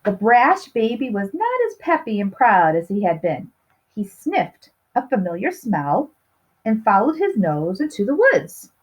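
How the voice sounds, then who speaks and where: A woman's voice: mid-range voice, very animated, measured pace, slightly rough, rough recording. A woman reading a picture book aloud to young children, expressively stretching key words and putting questions to her listeners. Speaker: storybook reader.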